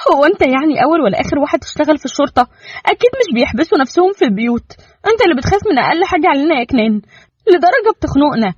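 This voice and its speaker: A woman's voice: very animated, very fast, dry recording. A woman doing a sobbing young Egyptian mother. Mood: pleading. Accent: Egyptian Arabic. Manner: high, crying, breaking voice. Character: young mother, anxious, tearful, pleading wife. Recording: dull, muffled, dry recording.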